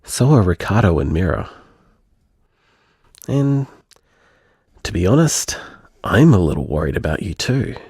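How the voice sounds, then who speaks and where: A man playing a tender, protective boyfriend in an audio drama, gentle, earnest and reassuring. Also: low voice, sing-song, unhurried, pauses often, breathy edge, dry recording, clean studio sound, heavily acted. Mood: tender, worried. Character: tender, protective boyfriend comforting his hurt partner. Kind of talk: audio drama voicemail monologue.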